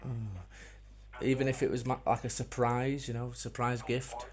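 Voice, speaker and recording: A man making a prank call as a customer, speaking in a deadpan, mock-earnest way with hesitant, repeated phrases. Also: medium pitch, normal speed. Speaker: prank caller.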